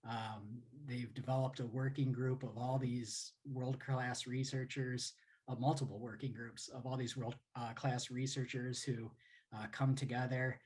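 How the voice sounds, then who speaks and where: Guy hosting a video call intro, flat even voice, lots of uh and um. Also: medium pitch. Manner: halting, many fillers and restarts. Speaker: host. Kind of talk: casual introduction.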